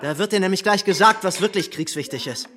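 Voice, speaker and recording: An actor playing a bullying SS soldier in a radio play, talking in a mocking, menacing, clipped way. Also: male voice, sits high, fairly quick, heavy background noise, natural voice. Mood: mocking menace. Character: sneering, bullying SS soldier needling a teenage farmhand.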